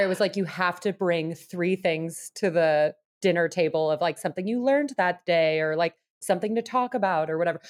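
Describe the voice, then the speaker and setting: A woman co-hosting a podcast with informal, playful banter and casual personal stories. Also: lowish voice. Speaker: podcast co-host.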